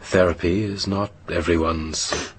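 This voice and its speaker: Man, formal therapist, deep voice, careful, measured, radio play, faint noise. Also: fairly even intonation. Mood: courteous, professional. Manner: formal, careful, punctuated with pauses. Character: measured, probing American male psychotherapist. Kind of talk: naturalistic radio drama dialogue.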